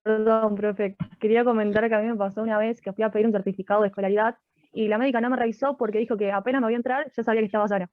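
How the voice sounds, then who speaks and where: A woman's voice: fairly high pitch, fairly even intonation, very fast, no echo, narrow, tinny audio, soft background music. A woman from Rosario, a student, politely telling the class about her own experience. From Rosario, Argentina. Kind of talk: classroom comment.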